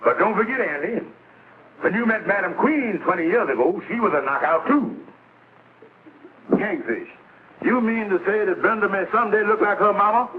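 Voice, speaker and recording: A tinny, noisy vintage sitcom soundtrack carries an excitable American schemer. He talks high and swoopy, in folksy, ungrammatical comic style. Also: normal speed. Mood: wry, animated. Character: excitable, scheming middle-aged man in a radio-era sitcom. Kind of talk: 1950s American sitcom acting. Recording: scratchy, tinny old TV soundtrack, heavy noise.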